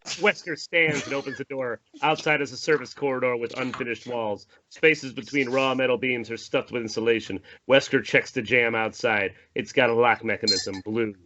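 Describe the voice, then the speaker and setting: Bright-voiced man narrating a script. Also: mid-range voice, steady tone, moderate pace, lo-fi sound, a little hiss or hum. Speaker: narrator reading stage directions. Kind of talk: screenplay table read narration.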